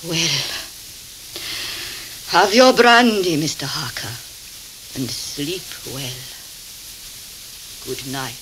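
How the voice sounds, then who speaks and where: An actress playing a solicitous, foreboding woman innkeeper with an Eastern European accent in a radio drama, halting and deferential. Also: deep voice, sing-song, a bit bright, heavily acted, low-quality recording. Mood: grave warning. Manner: halting, tag questions, deferential. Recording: hissy 1979 cassette tape.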